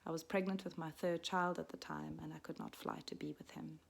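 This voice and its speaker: A woman in her forties, raised in Switzerland, reading a personal story aloud in a soft, reflective way that often drops to a half-whisper. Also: low-pitched, steady tone, fairly quick, vocal fry. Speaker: midwife and storyteller.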